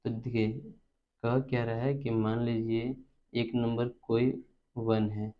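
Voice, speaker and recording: A man's voice: medium pitch, little pitch movement, slow pace. A man with an Indian accent teaching maths step by step, instructional and repeating terms as he works. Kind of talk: step-by-step spoken lesson. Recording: dull lo-fi recording.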